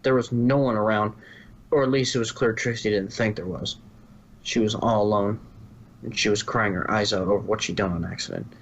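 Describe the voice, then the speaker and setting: A man's voice: fairly low pitch, fairly quick, a little hiss or hum. A man reading scripted story narration, now and then stumbling and rereading a phrase.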